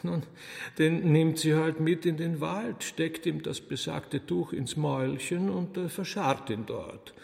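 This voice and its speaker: Gossipy Austrian courtier, creaky voice. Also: male voice, a little high. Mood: dry gossip. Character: gossiping, courtly sardonic male master of ceremonies. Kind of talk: radio play acting. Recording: long hall reverb, music underneath.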